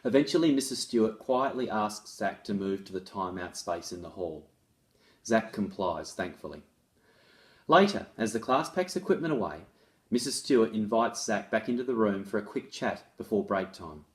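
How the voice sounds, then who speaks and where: A man's voice: fairly low pitch, expressive, moderate pace. A man presenting as an education author, reading a case study aloud and explaining it in a conversational, instructive way. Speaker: author and presenter on restorative practices in schools.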